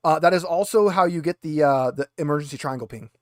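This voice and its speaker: A man's voice: fairly high pitch, fast talker, no room sound, clean studio sound. A man game mastering in a casual, improvised way, thinking aloud as he describes things.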